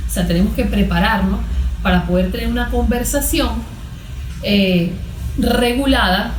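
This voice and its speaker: A Venezuelan woman speaking as a therapist, explaining things didactically in short, measured phrases. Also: fairly high pitch. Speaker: cognitive therapist. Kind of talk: explanatory interview talk. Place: therapy consulting room. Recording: echoey room with music bed.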